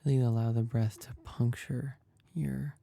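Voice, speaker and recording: Male meditation guide, very mellow and relaxed, gravelly fry at the edges, soft, calm and unhurried. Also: mid-range voice, no room sound. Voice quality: soft, breathy and husky. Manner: calm, gentle, unhurried with long pauses.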